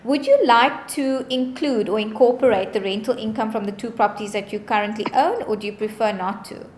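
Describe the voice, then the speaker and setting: A woman's voice: on the high side, brisk, a little mellow, slight background noise. A woman, a bond originator talking a client through a home loan in an upbeat, encouraging, reassuring way. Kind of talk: client consultation call.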